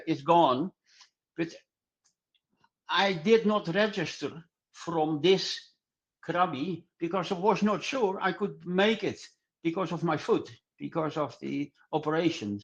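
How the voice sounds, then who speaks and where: Older male podcast guest, higher-set voice, halting and repetitive delivery, muffled low-fidelity sound. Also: gravelly. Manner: halting, repetitive non-native English. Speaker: podcast guest, club singer. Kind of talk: casual interview answers.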